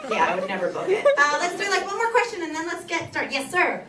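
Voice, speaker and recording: A woman's voice: extremely high pitch, sing-song, fast talker. A young woman with a Southern US accent answering audience questions on a theater stage into a handheld mic, chatty and self-mocking, laughing often. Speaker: author at a book signing. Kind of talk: live audience Q&A. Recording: echoey hall, heard from far off. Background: crowd noise.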